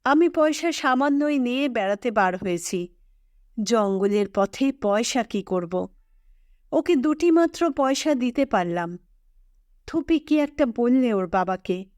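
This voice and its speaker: A woman narrating a literary audio story in a measured, expressive storytelling manner. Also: fairly high pitch, no echo, clean studio sound.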